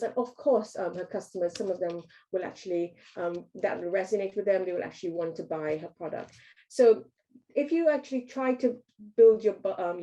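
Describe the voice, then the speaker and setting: A woman's voice: fairly low pitch, mellow, rough recording. A woman from Trinidad and Tobago, giving a business workshop talk, hesitant with frequent ums and restarts.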